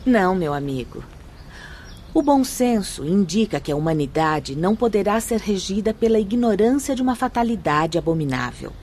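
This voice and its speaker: A woman playing a serene, learned spirit guide in a radio drama, speaking in a formal, measured, instructive and firm manner. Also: mid-range voice, lively intonation, darkish tone, slight background noise, lightly acted. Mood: patient, instructive. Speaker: actress in a radio play. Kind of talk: radio drama acting, solemn and didactic.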